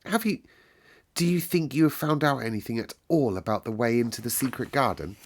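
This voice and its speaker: Man putting on a pleading, higher-pitched frail young boy. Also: animated, fairly quick. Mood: eager, confiding. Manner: soft, eager, appealing.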